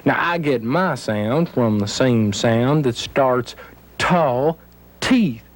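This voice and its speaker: A man voicing a male puppet letter on a children's educational show, teaching sounds in a deliberate, theatrical, emphatic way. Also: mid-range voice, expressive. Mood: delighted, instructive.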